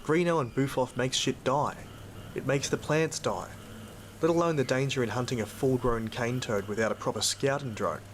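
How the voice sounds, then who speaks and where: A man acting as a gruff, world-weary cane toad hunter in an audio drama, with an Australian accent and a blunt, jaded manner. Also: medium pitch, normal speed, slight background noise, natural voice. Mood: blunt, angry. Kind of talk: first-person narration and dialogue in an audio drama.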